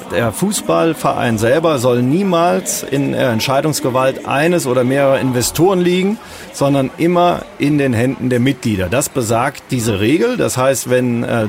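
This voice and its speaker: A man's voice: medium pitch, a little mellow, slight background noise. A male radio reporter explaining a story to the host in conversation.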